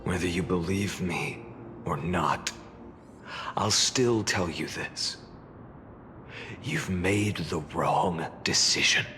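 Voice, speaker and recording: A man voicing a grim, world-weary warrior in a video game, low and gravelly, solemn and restrained. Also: slow, pauses often, bright, forceful tone, big-room sound, faint music behind, full character voice. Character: grim, world-weary warrior bearing burning divine flames.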